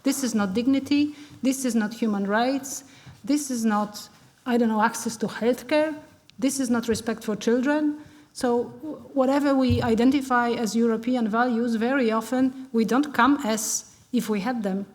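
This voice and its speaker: A woman from Poland speaking on a discussion panel in a large hall, earnest and halting with many restarts. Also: a little high, a little mellow.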